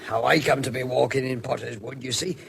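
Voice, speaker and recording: A man's voice: medium pitch, fairly even intonation, a little fast, gravelly, a bit bright, slight background noise. An actor playing a chatty, superstitious middle-aged working man with a rural English accent in a radio drama. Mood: chatty, sly. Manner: folksy, rambling.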